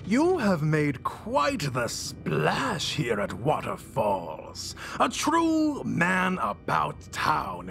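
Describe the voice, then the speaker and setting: An actor playing a flamboyant, ancient mastermind villain in a video game, pompous, grandiose and mock-polite with theatrical delivery. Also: male voice, high-pitched, gravelly, sharp tone, exaggerated voice.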